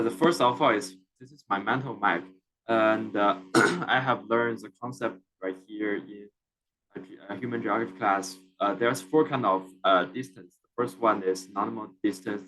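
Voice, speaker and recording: A young man with a Chinese accent talks through a student class presentation. His voice sits low and sounds bright and forceful. He hesitates often, filling gaps and restarting phrases. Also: rough recording. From China. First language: Mandarin Chinese. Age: young adult. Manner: halting, many fillers and restarts.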